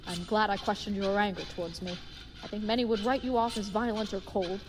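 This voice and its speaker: Audio drama knight female actor: gallant, simple-minded, sincere, formal old-fashioned diction, mid-pitched, echoing hall sound. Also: noisy background, lightly acted. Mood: gentle, sincere. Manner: formal, stilted, uncontracted, chivalrous speech. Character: earnest, dim-witted, gallant young knight.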